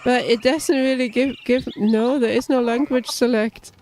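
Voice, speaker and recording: A woman's voice: on the high side, steady tone, normal speed, a little mellow, a little hiss or hum. A woman streamer chatting casually and self-mockingly while playing a story game. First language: not German.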